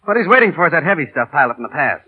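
Lively, husky fast-talking pilot, tinny radio. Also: male voice, fairly high pitch. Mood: explaining. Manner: animated, breezy. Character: jaunty, talkative male mail pilot. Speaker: radio drama actor. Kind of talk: 1930s radio drama acting. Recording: tinny old radio broadcast.

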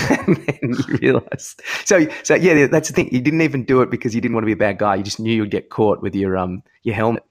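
A man's voice: medium pitch, fairly even intonation, fairly quick, hardly any pauses. A young Australian man co-hosting a comedy podcast with blunt, teasing banter and comic rants. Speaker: podcast co-host. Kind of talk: casual comic banter and ranting.

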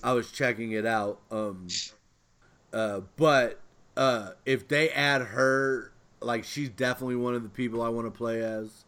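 Male podcast co-host, average pitch, informal fan-to-fan chat. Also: fairly even intonation, measured pace, a bit bright. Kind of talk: casual pop-culture chat.

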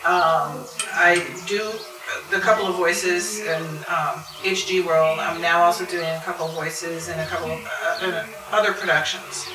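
A woman's voice: on the low side, steady tone, normal speed. A middle-aged woman talking casually as a panelist at a live convention, thinking aloud in a conversational way. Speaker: convention panelist and audio drama voice actor. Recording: roomy, distant mic, noisy live room.

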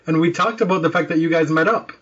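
Casual male podcast host, slightly high. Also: fast talker, lo-fi sound, background noise. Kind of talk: casual chat.

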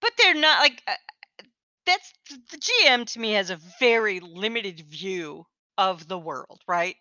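A woman's voice: medium pitch, expressive, a little slow, bright, forceful tone, dry recording. A woman co-hosting a podcast in casual chat, teasing her co-host and laughing often. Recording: dull, lo-fi, dry.

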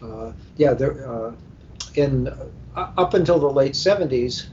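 An older American man speaks as a panel guest, deliberate and professorial, often pausing mid-thought. His mid-pitched voice comes over a muffled internet call with a faint rumble underneath. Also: animated, darkish tone. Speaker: book editor, panel guest. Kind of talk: discursive panel conversation. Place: hotel room at a convention, internet call.

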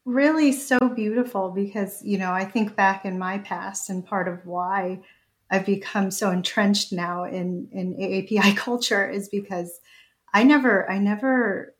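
A woman in her thirties guest hosts a podcast conversation, affirming and open. Her voice sits in a middling pitch. She leans on small fillers as she talks. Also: steady tone, measured pace. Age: 30s. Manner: warm, affirming, frequent fillers. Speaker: guest host. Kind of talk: casual conversation.

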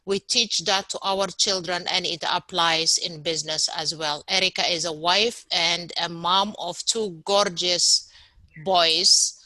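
Woman podcast host, upbeat, crisp bright tone, dry recording. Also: fairly low pitch, steady tone, unhurried, no room sound, poor audio. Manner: upbeat, repeats words for emphasis. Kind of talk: podcast interview.

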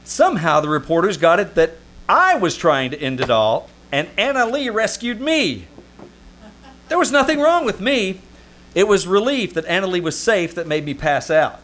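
A man's voice: high voice, moderate pace. A man playing an exasperated, wisecracking studio minder who narrates his own story, in a live old-time radio comedy. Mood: wry narration. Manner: excitable, sardonic. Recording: live stage performance, background noise.

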